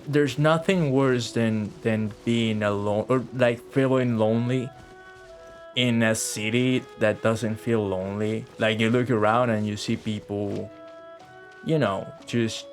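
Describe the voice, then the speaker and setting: A man giving casual, halting, reflective livestream commentary on a video game in an informal way. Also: on the low side. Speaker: game streamer. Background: faint game music.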